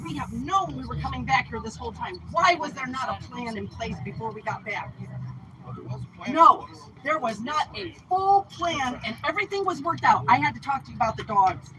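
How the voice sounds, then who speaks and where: A woman making an angry, accusing speech to a crowd outdoors, shouting at times. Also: high voice, lively intonation, normal speed, background noise. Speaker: convoy protester addressing the group. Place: outdoor parking lot.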